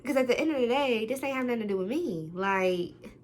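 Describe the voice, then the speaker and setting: A young woman telling a story to the camera, talking loudly and acting out what people said. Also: on the high side, fairly quick. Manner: loud, animated, quotes people in different voices. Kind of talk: casual storytime monologue.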